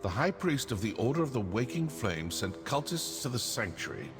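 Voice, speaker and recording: An actor playing a sly, wry assassin in a video game, talking in a theatrical yet conversational way. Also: male voice, medium pitch, big pitch swings, normal speed, close to an everyday voice. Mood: pondering, suspicious. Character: sly, wry male Dark Brotherhood assassin, menacing yet curious. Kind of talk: video game character dialogue. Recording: long reverberant hall, music behind.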